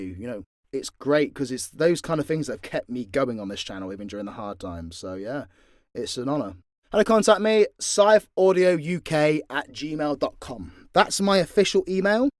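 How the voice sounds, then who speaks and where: A British man with a mid-pitched voice talks candidly through fan questions. His delivery is casual and unscripted, peppered with swearing and joking asides. The sound is close and dry. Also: darkish tone, no echo. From Britain. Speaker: voice actor and audio channel creator answering fan questions.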